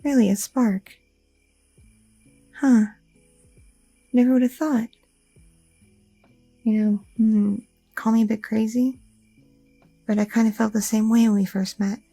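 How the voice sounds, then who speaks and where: A woman voicing a sweet, anxious young goth girlfriend in an ASMR roleplay, talking softly and a little hesitantly, close to the mic. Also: a little high, steady tone, unhurried, pauses often, no room sound, faint music behind, voice pushed down, low-quality recording. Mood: tender. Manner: soft, affectionate, a little hesitant, with fillers.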